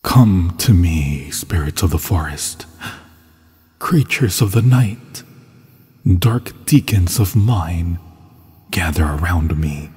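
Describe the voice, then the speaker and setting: A man voicing an obsessed, possessive demon lord in an ASMR horror roleplay, husky and breathy, often dropping into a whisper, with grandiose, seductive phrasing. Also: low voice, expressive, takes it slowly, long pauses, darkish tone, dry recording, exaggerated voice. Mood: commanding, ceremonial. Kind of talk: ASMR fantasy horror roleplay, theatrical monologue. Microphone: close, dry microphone.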